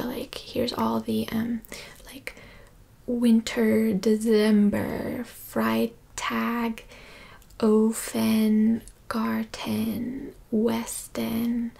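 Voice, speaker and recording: A slightly high-pitched American woman whispers her way through a German lesson, slow and meandering. Her voice has a gravelly edge, and she drops deadpan jokes as she talks. Faint noise sits behind her. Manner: whispery, rambling, deadpan joking. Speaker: language teacher. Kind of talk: whispered ASMR-style German lesson, joking. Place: indoors with books and a map.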